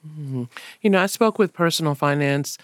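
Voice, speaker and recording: A man's voice: on the high side, moderate pace, dry recording, clean studio sound. A man co-hosting a radio interview, talking conversationally with a few restarts and fillers. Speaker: radio co-host.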